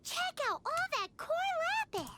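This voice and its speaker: A woman voicing a tiny, cheeky, bossy childlike fairy companion with a squeaky voice in a video game. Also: wildly swooping pitch, measured pace, full character voice. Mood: excited. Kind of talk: video game character acting. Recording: music behind the voice. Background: game music.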